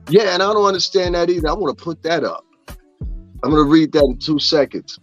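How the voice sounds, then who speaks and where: A man's voice: on the high side, highs cut off. A man ranting profanely in casual gossip commentary, often asking if his listeners understand what he's saying. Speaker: commentary streamer.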